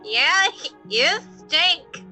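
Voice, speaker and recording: A woman's voice: high-pitched, expressive, very slow, sharp tone, soft background music, voice pushed up, heavily acted. A woman playing a bossy, squeamish young princess type who talks in an indignant, snippy way in a tabletop game. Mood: disgusted.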